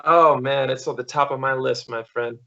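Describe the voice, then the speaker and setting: A man's voice: medium pitch, steady tone, moderate pace, creaky, bright, forceful tone. An American man in his thirties telling stories in a casual, rambling way as an interview guest on a video call. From small-town Missouri, USA. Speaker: podcast guest, stuntman and actor. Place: living room at home, on video call. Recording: slightly dull video call audio.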